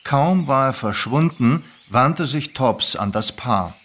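Man narrating a story, medium pitch. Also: animated, slow, fairly bright tone. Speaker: narrator and reader.

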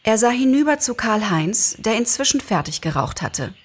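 A woman narrating a story as an expressive scripted reading. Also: a little high, normal speed, slightly rough.

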